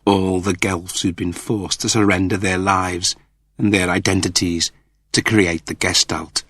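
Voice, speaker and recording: A man reading scripted fiction as a narrator, in a deliberate, theatrical storytelling manner. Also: deep voice, fairly even intonation, fairly bright tone, dry recording. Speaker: audiobook narrator.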